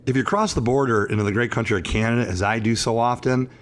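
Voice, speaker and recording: A hoarse man in his fifties, an attorney hosting a talk show, chatting in a rambling, emphatic and self-assured way. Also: mid-range voice, fairly even intonation, quick pace. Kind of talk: casual radio talk show chat.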